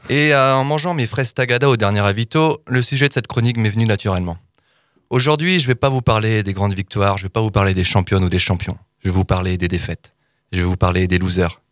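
A man's voice: fairly low pitch, no echo, clean studio sound. A man in his thirties reading a comic podcast column, wry and self-mocking, with theatrical touches. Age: 30s.

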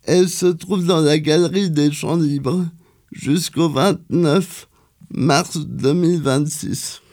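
A man reading a cultural events column on local radio, slow and careful, with some hesitations. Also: on the high side, steady tone, darkish tone.

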